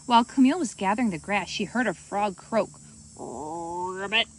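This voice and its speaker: A woman reading a children's storybook aloud as host, in an expressive, animated manner for young listeners. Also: medium pitch, fast.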